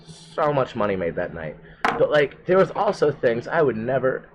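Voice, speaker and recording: Thirties male guest from Atlanta, loose, profane storyteller, mid-pitched. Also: normal speed, muffled recording, background noise. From Atlanta, USA. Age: 30s. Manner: animated storyteller, swears freely, acts out quotes. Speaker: guest comedian and bouncer. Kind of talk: casual chat.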